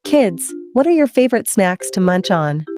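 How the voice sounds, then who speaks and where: A woman's voice: medium pitch, big pitch swings, moderate pace, warm tone, no room sound, clean studio sound, soft background music. A woman hosting story time for children, playful and chatty, putting questions to her young listeners.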